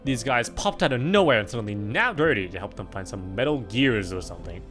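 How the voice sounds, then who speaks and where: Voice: medium pitch, lively intonation, fast talker, a bit bright, soft background music, close to an everyday voice. A performer voicing an exasperated, sarcastic, scholarly pony in a parody cartoon, dry, irritable and wordy. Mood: wry narrating. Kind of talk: fan parody cartoon dub.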